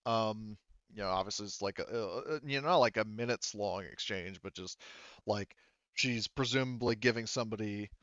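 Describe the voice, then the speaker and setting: A man narrating a tabletop game as game master, conversational and halting, pausing to rephrase as he goes. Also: on the low side, a bit bright, smooth, no room sound, highs cut off.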